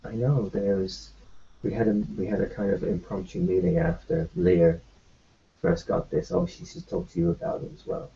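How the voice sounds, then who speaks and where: A man in a tabletop roleplay, talking in character with a gravelly, raspy voice in a halting, reassuring way. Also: mid-range voice, little pitch movement, warm tone. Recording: lo-fi muffled recording.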